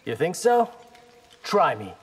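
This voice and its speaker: Give this one a cocky, determined young ninja from a fighting game. His fairly high voice moves around with lively inflection. It rings in a long hall reverb. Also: takes it slowly. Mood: cocky, defiant. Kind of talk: video game fight banter. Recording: long hall reverb, loud noise behind.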